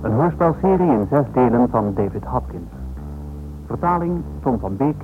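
A man's voice: medium pitch, animated, moderate pace. A man giving a scripted title announcement for a radio drama. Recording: narrowband old radio recording. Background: loud music.

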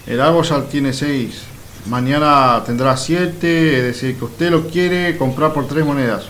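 A man playing a sly, patient country trickster in a storybook radio play, bargaining deliberately. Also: a little high, fairly bright tone, slight background noise. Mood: coy bargaining.

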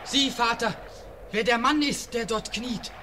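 A man's voice: extremely high pitch, measured pace, background noise. A frightened young boy. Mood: urgent. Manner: urgent, pleading. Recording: storm sound effects behind.

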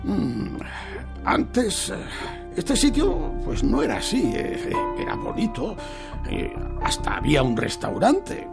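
Casting: old Spanish farmer, very creaky voice, wildly swooping pitch, halting and repetitive, by turns nosy and imploring, radio play. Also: male voice, wildly swooping pitch, over music, exaggerated voice. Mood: nostalgic. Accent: Spain. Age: older. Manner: hesitant, stammering, repeating words. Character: poor old farmer, rambling, nosy, pleading widower. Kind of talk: Spanish radio drama acting.

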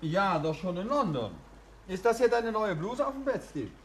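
An actor playing a composed, inquisitive detective and affectionate husband with dry understatement in a 1950s radio play. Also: male voice, high-pitched, big pitch swings, moderate pace. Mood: brisk.

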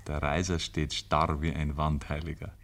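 A man with a Bavarian accent narrating a 1950s radio play in measured, rustic storytelling. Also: very deep voice, little pitch movement, a bit bright. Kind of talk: scripted literary radio narration. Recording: noisy old 1950s radio recording.

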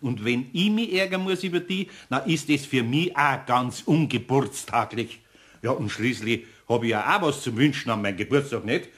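A man's voice: medium pitch, normal speed, a bit bright, lightly acted. An actor playing a good-natured, grumbling older craftsman with a Bavarian accent in a children's radio play. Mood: indulgent, mildly grumbling. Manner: homely, grumbling, indulgent.

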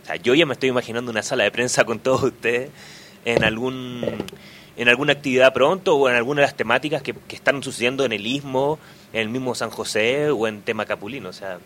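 Brief: Chilean-born male guest, mid-pitched, halting restarts, faint noise underneath. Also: fairly even intonation, fairly bright tone. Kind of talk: radio discussion.

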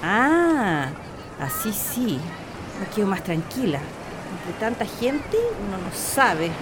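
An actress playing a fussy, complaining wife in a radio drama, with a Chilean accent and an exasperated, grumbling manner. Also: female voice, mid-range voice, very animated, takes it slowly. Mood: calmer. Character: fussy, complaining, easily worried well-to-do wife Inés. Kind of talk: Spanish-language radio drama acting. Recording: noisy outdoor ambience with bird calls.

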